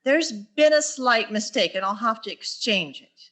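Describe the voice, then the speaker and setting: Woman playing a bright, wry schoolteacher. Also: on the high side, animated. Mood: flustered, urgent. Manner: wry, theatrical, quick with wisecracks. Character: wry, flustered female high school English teacher. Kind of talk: 1940s radio sitcom acting on stage.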